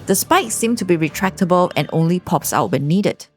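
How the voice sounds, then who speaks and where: A woman narrates a story recap in a low voice. Her delivery is scripted and very varied in pitch, with faint music running underneath. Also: animated, moderate pace.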